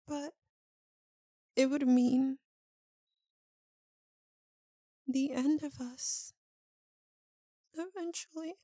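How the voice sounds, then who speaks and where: A woman playing an anguished, tender, guilt-ridden vampire lover in an ASMR roleplay, speaking in a halting, intimate, pleading way. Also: high voice, mellow, no echo, clean studio sound. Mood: hesitant, sorrowful.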